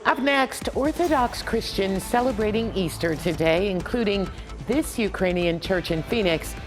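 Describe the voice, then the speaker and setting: A woman's voice: medium pitch, expressive, unhurried, over music, rough recording. An American woman anchoring a TV newscast in a scripted news delivery.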